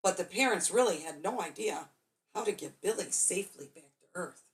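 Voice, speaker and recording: A woman's voice: fairly low pitch, lively intonation, moderate pace. A woman storyteller reading a children's bedtime story expressively, drawing out key words for dramatic emphasis.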